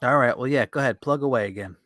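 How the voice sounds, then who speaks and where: A man's voice: mid-range voice, fast talker, fairly bright tone, no room sound. Casual male podcast co-host, dry sound.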